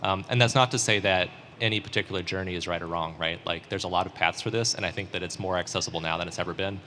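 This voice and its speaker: A man in his forties on a startup panel, speaking in an articulate, measured way. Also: low-pitched, steady tone, bright, forceful tone, slight background noise. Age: 40s. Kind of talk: conference panel discussion.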